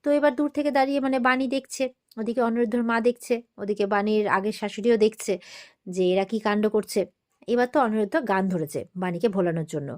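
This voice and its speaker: A woman's voice: medium pitch, fast, darkish tone. A woman narrating a TV serial recap in a casual, chatty storytelling way, acting out the dialogue as she goes.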